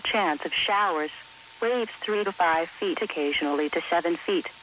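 A woman's voice: fairly low pitch, moderate pace, fairly bright tone, low-quality recording. A woman's synthetic, evenly phrased voice announcing an automated marine forecast on weather radio. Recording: hissy weather radio broadcast.

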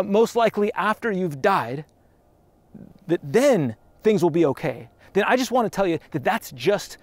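Pastor guy in his 30s preaching outside on a trail, kinda high voice, dry close sound, earnest and chatty. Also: no room sound. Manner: earnest, conversational, with restarts and lively emphasis. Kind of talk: sermon. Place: outdoors on a dirt trail.

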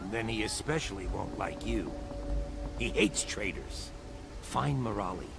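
A seasoned guide in a video game, briefing informally. His voice is gravelly and swings widely in pitch. Music is clearly heard behind him. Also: sing-song, a little slow, heavily acted. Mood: cautioning. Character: seasoned, cautioning male guide and ally. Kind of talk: video game cutscene acting.

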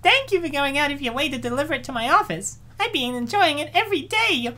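A woman's voice: sits high, animated, quick pace, sharp tone. A woman voicing a bossy, greedy young office lady in a comic dub, with exaggerated delivery. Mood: eager, pleased.

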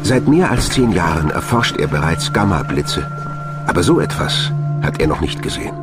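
A man's voice: sits low, big pitch swings, normal speed, over loud music. A man reading scripted science documentary narration as a studio voice-over.

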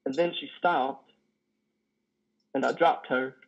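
A man playing a lonely, guilt-ridden trucker in confession, speaking haltingly with stammers in an online play reading. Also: mid-range voice, fairly even intonation, gravelly. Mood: anguished, guilty. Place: living room, on a sofa. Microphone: headset on video call. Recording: dull video call audio.

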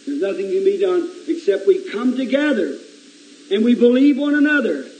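A hoarse man with a cold, preaching earnestly to his congregation and calling on people by name. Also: high-pitched, animated, normal speed. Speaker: pastor. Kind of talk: informal sermon talk to a congregation. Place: church sanctuary. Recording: echoey church with loud congregation singing.

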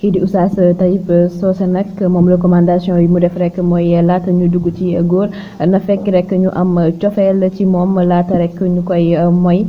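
A woman with a Senegalese accent presenting news narration, with a halting delivery and frequent hesitant pauses. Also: on the low side, little pitch movement. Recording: dull lo-fi sound with clear noise.

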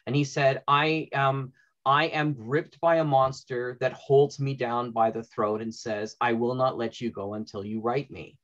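A man's voice: medium pitch, fairly even intonation, a little slow, fairly bright tone. A middle-aged man chatting casually as moderator of an online panel. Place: indoor room, video call. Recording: slightly muffled video call audio.

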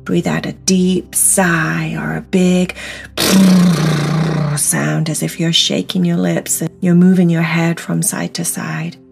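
A woman's voice: on the low side, flat delivery, measured pace, gravelly, few pauses. A woman guiding a meditation in an instructional, soothing and encouraging manner, stressing words by repeating them. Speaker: meditation guide. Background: music.

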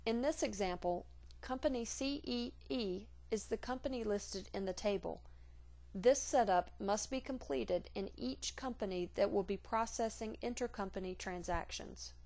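Woman doing software training voiceover, formal and measured, medium pitch, reading off a script. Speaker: software tutorial narrator. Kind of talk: scripted instructional narration.

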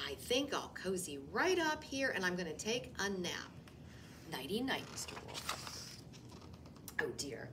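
A nervous little storybook rabbit, acted out by a woman reading aloud, swinging widely in pitch. It stammers and piles on flustered denials. The voice sits a little away from the mic. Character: nervous, fibbing little rabbit hiding its kind from wolf. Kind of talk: read-aloud children's picture book. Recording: distant mic, faint room noise.

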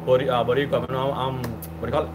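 A man's voice: medium pitch, little pitch movement, racing, heavy background noise. A man in his forties hosting a casual live stream outdoors, rambling through viewer comments with lots of fillers and restarts. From Cuban. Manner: chatty, rambling, many fillers and restarts, greets viewers by name. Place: outdoor patio with palm trees.

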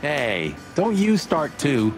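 An actor playing a cocky rooster detective sidekick in a film noir game, sardonic and playful. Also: male voice, fairly high pitch, huge pitch swings, takes it slowly, heavily acted. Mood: teasing. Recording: music behind the voice.

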